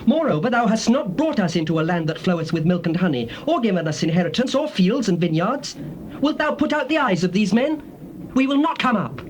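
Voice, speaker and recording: An actor playing a defiant, bitter rebel, scornful and declamatory in a scripture drama. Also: male voice, sits high, big pitch swings, a little fast, slight background noise. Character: defiant, bitter male rebel against Moses.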